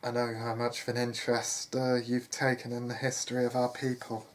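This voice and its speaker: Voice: mid-range voice, monotone, a little fast, lightly acted, poor audio. A narrator as an anxious, earnest young nobleman brother. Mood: tender.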